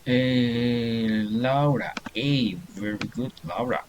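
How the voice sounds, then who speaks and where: A man's voice: mid-range voice, very slow, noisy background. A middle-aged man teaching a beginner English class online, patiently encouraging students and reading answers aloud.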